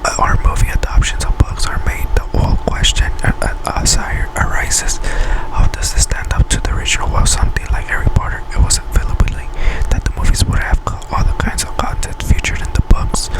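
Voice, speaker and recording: Male ASMR host, whispered throughout, breathy and unvoiced, reading facts with halting, self-correcting delivery. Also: low-quality recording. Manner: halting delivery, stumbles and repeats words while reading. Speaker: ASMR video creator and host.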